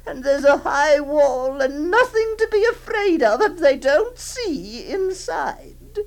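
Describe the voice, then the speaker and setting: A clingy, possessive aunt, played by a woman, speaks in a very high, sing-song voice that swoops up and down. She lingers slowly over her words, hushed and tremulous, pleading with the little girl in her care. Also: big pitch swings, full character voice, low-quality recording. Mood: tearful. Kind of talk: dramatic monologue reading.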